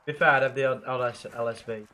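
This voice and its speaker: A young man with a blocked nose and rough throat, chatting casually and swearing with viewers while playing a game. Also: medium pitch, fairly even intonation, fast, pushed and bright. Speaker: livestreamer playing a zombie game.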